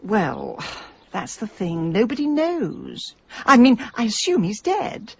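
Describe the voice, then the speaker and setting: A woman playing a headstrong, middle-aged English businesswoman in a radio comedy-drama, sardonic, brisk and stubborn. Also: medium pitch, wildly swooping pitch, vocal fry, breathy edge, muffled recording, full character voice. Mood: wry, bitter. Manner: brisk, dry, exasperated.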